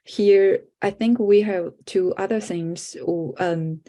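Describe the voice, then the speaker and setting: Halting woman presenter, native Chinese speaker. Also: medium pitch, dark tone. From China. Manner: halting, with frequent restarts and fillers. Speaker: conference presenter. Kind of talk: online workshop presentation.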